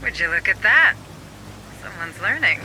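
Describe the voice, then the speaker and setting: An actor playing a quick, teasing marine in a cinematic sci-fi game. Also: male voice, high voice, sing-song, sharp tone, heavily acted. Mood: keen, teasing. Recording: noisy vehicle interior ambience.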